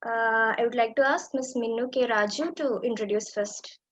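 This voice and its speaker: Woman hosting, slightly high voice. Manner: steady, with frequent "uh" pauses. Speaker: event host. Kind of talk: reading out names at an online event.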